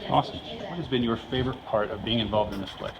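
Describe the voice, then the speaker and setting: A man's voice: fairly low pitch, fast. A man interviewing fellow participants in a casual, chatty backstage style. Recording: dull sound amid loud crowd chatter. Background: busy crowd chatter.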